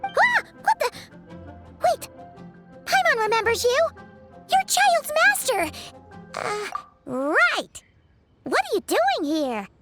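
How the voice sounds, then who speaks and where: A woman voicing an excitable, chatty little fairy companion in a game who refers to herself in the third person. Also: extremely high pitch, takes it slowly, long pauses, full character voice. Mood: startled. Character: tiny floating female fairy companion, chirpy. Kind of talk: game dialogue. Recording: game audio with music behind.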